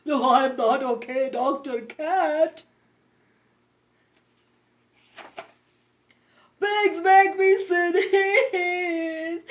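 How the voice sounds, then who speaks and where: An anxious storybook elephant, acted out by a woman, very high and drawn out. The delivery is halting and overdramatic, swinging widely in pitch as the words stumble along. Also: exaggerated voice. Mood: miserable. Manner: halting, stammering, overdramatic with loud achoos. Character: anxious, melodramatic sneezing elephant, friend of a piggy. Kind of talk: children's storybook read-aloud.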